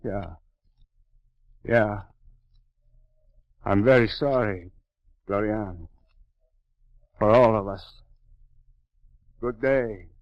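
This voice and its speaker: A man's voice: lowish voice, very slow, pauses often, no echo. An actor playing a sly, resentful male circus performer with a silky, insinuating delivery, in 1940s radio style. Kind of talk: 1940s radio drama acting. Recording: dry, dull 1940s radio broadcast.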